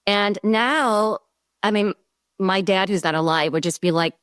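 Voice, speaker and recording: Animated woman from the Midwest. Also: medium pitch, unhurried, clean tone, dry recording, clean studio sound. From American Midwest. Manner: animated storytelling. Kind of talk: conversational interview.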